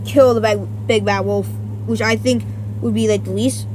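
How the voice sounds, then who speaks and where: A woman's voice: medium pitch, fairly even intonation, moderate pace, background noise. A woman giving casual versus-battle commentary, rambling with many restarts and self-corrections and some casual swearing. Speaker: YouTuber.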